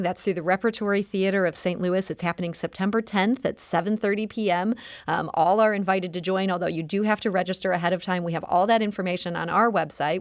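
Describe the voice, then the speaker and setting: A woman's voice: medium pitch, dry recording, studio quality. A woman hosting a public radio interview in a conversational style, introducing and questioning guests.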